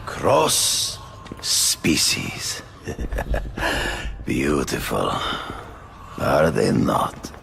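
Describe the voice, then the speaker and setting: An actor playing a proud, theatrical big-game hunter in a video game, with a deep gravelly voice and a grandiose, deliberate delivery. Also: male voice, wildly swooping pitch, fairly bright tone, full character voice. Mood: cold, proud. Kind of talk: dramatic video game acting.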